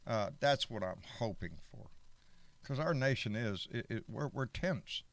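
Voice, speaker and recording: A man's voice: medium pitch, lively intonation, measured pace, gravelly, no echo. A man hosting a live stream talk show, brash and joking, swearing freely. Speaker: host.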